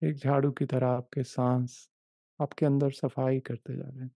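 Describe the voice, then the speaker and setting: A man leading a guided meditation, giving gentle, unhurried instructions in short repeated phrases with long pauses. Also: medium pitch, little pitch movement, creaky, no room sound. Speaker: meditation guide, life coach.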